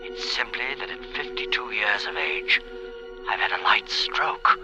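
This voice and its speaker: A man's voice: lowish voice, animated, loud music behind the voice. An actor playing a weary, fatalistic man in his fifties, brooding aloud in a noisy 1940s radio drama. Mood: bitter, grim. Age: 50s. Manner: brooding, dramatic, haltingly musing. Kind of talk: 1940s radio drama acting, interior monologue. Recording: noisy, hissy 1940s radio broadcast recording.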